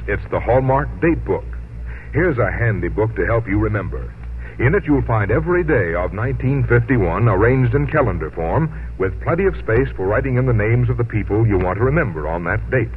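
A man's voice: lowish voice, lively intonation, brisk. American male radio announcer, tinny vintage sound. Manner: folksy, friendly sales delivery. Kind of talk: 1950s scripted radio sponsor announcement. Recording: tinny, lightly noisy vintage radio broadcast.

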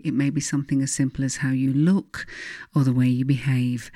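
A woman reading her own spiritual self-help book as scripted narration, speaking deliberately and earnestly straight to the listener. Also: low voice, warm tone, no echo, studio quality.

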